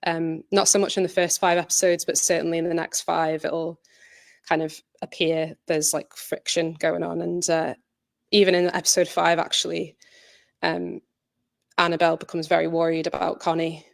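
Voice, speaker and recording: A woman's voice: fairly low pitch, flat delivery, normal speed, vocal fry, no room sound. A woman giving an informal behind-the-scenes talk as a drama director, in a hesitant, conversational way that often rephrases. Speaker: director and writer of an audio drama, presenter.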